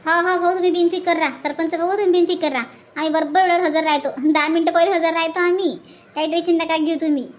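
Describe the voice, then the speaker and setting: A woman's voice: very high-pitched, fairly even intonation, fast, lo-fi sound. A woman voicing an agreeable, dutiful cartoon village woman, emphatic and repetitive, with a rural Vidarbha Marathi accent. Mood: eager, reassuring. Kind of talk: hobby cartoon dialogue.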